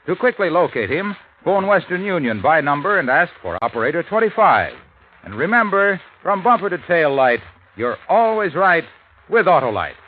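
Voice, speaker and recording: An actor playing a hearty, eager pitchman in an old radio commercial, punchy and enthusiastic. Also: sing-song, normal speed. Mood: hearty selling. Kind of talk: 1950s radio ad reading. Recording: tinny, noisy old radio recording.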